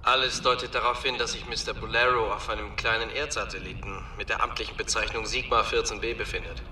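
Man as a lowish investigator, echoey and distant. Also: steady tone, quick pace, lightly acted. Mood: factual. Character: formal, factual male investigator reporting findings. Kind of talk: German radio drama acting. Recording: echoey distant voice, clear noise.

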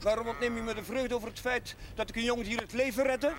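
An actor playing an earnest, tender-hearted young village chaplain in a television drama, hesitant when moved. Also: male voice, very high-pitched, fairly even intonation, fast talker, noisy background. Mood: indignant. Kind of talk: 1970s Dutch television drama acting. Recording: 1970s TV soundtrack, loud music behind.

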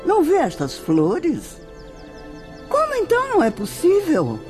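A woman's voice: very high-pitched, wildly swooping pitch, measured pace, over music, exaggerated voice. A Brazilian woman playing a venerable nun who guides spirits in a radio drama, speaking in a solemn, declamatory, tenderly instructive way. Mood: ardent wonder. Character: venerable, devout nun guiding spirits in the afterlife.

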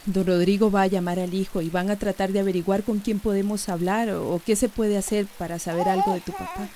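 A woman's voice: mid-range voice, steady tone, fairly quick, close to an everyday voice. An actress playing a tender, comforting village mother in a radio soap opera. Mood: weary, gentle. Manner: cooing, comforting. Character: tender village mother soothing her baby. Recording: loud rain noise behind radio drama.

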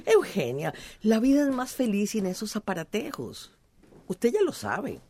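Fed up woman in a radio soap, slow and emphatic, highish swooping voice. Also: huge pitch swings, warm tone, exaggerated voice. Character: fed-up, plain-spoken woman who shuns gadgets. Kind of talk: radio soap opera acting.

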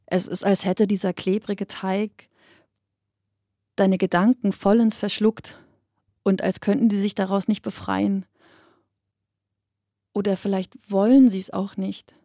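An actress playing a somber woman narrator in a radio drama, speaking in a measured, reflective, literary monologue addressed to a withdrawn loved one. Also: mid-range voice, flat delivery, warm tone, no echo, clean studio sound, lightly acted. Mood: resigned, sad.

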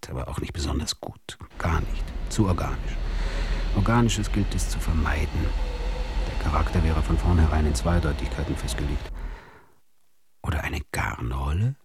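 Low-voiced, muttering, brooding man. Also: background noise. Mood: pondering, dissatisfied. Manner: halting, muttering, often dropping into breathy whisper. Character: brooding man obsessively deliberating over absurd trifles. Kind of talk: literary radio drama monologue.

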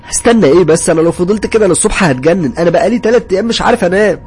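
A man with an Egyptian accent voicing a sleepless, desperate, hot-tempered doctor in a horror story, agitated and shouting. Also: fairly high pitch, very fast, faint music behind, voice pushed up, close to an everyday voice. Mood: frantic.

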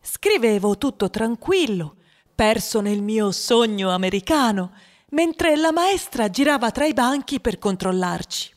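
A woman's voice: on the high side, expressive, measured pace. An actress playing a wistful, dreamy, overweight young boy who confides his story in a radio drama monologue. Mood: wistful, confiding.

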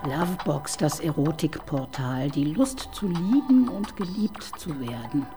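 A woman's voice: sits low, lively intonation, unhurried, over loud music. An actress playing a skeptical female police inspector in a radio crime drama, probing her colleague with dry irony. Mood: interrogating. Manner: dry, probing, ironic questioning. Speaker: detective chief inspector.

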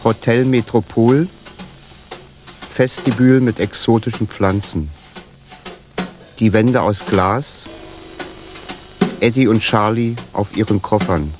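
An actor as a detached narrator, reading scene directions in a radio play. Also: male voice, fairly low pitch, slow pace, long pauses.

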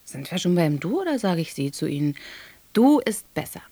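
A woman's voice: lowish voice, sing-song, moderate pace, warm tone, no echo. A young woman playing a pert schoolgirl youth secretary in a radio drama, cheeky and colloquial. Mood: teasing. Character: pert, mocking teenage schoolgirl youth secretary writing a letter. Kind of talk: German radio drama monologue.

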